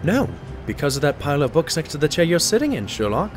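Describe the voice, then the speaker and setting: An actor playing a modest, loyal pony doctor who narrates and talks in an audio drama in an earnest, expressive way. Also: male voice, a little high, fast, slight background noise. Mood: thoughtful, deducing.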